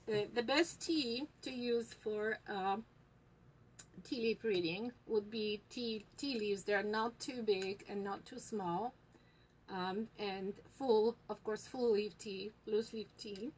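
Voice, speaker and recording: A woman with a Polish accent leads a live lesson at a very leisurely pace, pausing often with small fillers as she explains things to viewers. A faint ambient hiss sits behind her voice. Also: medium pitch, poor audio. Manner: unhurried, many fillers and pauses. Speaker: tea shop host teaching tea leaf reading.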